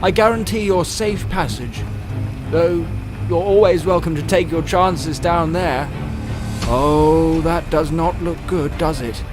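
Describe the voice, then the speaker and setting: Male actor as scheming courtly chancellor for audio drama: unhurried, high-pitched, drawling and mocking. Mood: smug, taunting. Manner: drawling, theatrical, mock-courteous. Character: suave, mocking imperial chancellor, theatrical dubious ally. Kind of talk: fan audio drama acting. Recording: music bed under the voice.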